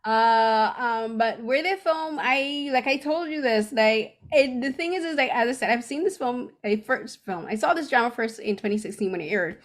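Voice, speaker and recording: A woman from Barbados, hosting a casual podcast chat and talking in an animated, rambling way full of fillers and restarts. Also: on the high side, normal speed, few pauses. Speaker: podcast host. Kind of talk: casual chat about a TV drama.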